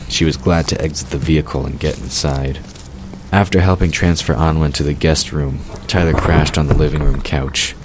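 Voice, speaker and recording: Fantasy audio drama narrator: male, bass-deep voice, scripted storytelling, noticeable ambient noise underneath. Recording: clearly noisy background ambience. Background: outdoor ambience sound effects.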